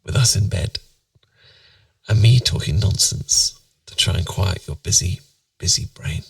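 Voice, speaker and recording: A British man playing a tender, playful boyfriend in a bedtime ASMR roleplay, musing softly and intimately to soothe his partner to sleep. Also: deep voice, a little slow, vocal fry, no room sound, recorded from a distance, heavily acted. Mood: dreamy, musing. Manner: soft, intimate, soothing, musing, occasionally near whisper.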